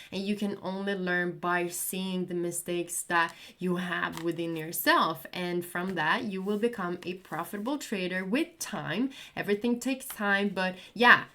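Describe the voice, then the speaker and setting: A woman's voice: medium pitch, fairly bright tone. Swedish woman chatting to camera about trading, earnest and encouraging, says things twice. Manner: earnest, encouraging, repeats herself. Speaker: crypto trading YouTuber. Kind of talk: casual recommendation talk to camera.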